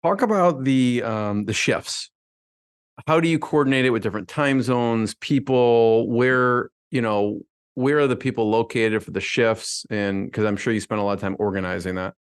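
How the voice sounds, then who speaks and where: A man's voice: mid-range voice, normal speed, smooth. A man hosting an interview, asking loose, rambling questions with frequent restarts. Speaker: podcast host.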